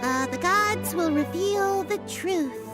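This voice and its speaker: A woman acting a haughty oracle who speaks for the gods in a video game, with theatrical, halting delivery. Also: extremely high pitch, lively intonation, exaggerated voice. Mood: solemn, halting. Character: haughty female oracle voicing the gods, grandly insistent. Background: game music.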